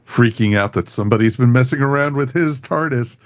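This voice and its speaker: A man's voice: medium pitch, brisk, no echo, studio quality. A man co-hosting a podcast, giving an enthusiastic, casual review as he chats with others. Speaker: podcast co-host reviewing audio dramas.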